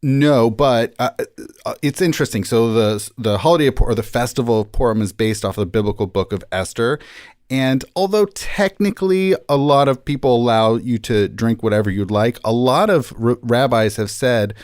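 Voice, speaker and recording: A man's voice: mid-range voice, moderate pace, darkish tone, no echo, clean studio sound. A man speaking as an interview guest, explaining things casually and informatively with light humor. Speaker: podcast guest, rabbi.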